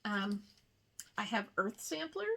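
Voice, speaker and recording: A woman hosting a casual craft show-and-tell, chatting in an unhurried way with rambling asides. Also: mid-range voice, expressive, gravelly, fairly bright tone, husky. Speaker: cross-stitch video host.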